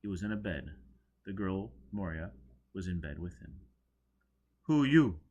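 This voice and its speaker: Low-voiced man reading a story. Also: normal speed, a little mellow, dull audio. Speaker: audiobook reader. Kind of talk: scripted dramatic story narration.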